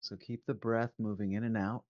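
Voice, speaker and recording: A man leading a guided meditation as a teacher, calm and measured, giving gentle instructions with long pauses. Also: lowish voice. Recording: phone-like narrow band, roomy echo.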